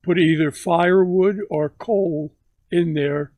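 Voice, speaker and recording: Elderly man in his 90s, slow and halting, slightly high voice, dry close sound. Grandfather recounting memories. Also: fairly even intonation, darkish tone, no echo. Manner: slow, halting recollection with many pauses. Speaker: grandfather telling stories. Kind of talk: casual family interview.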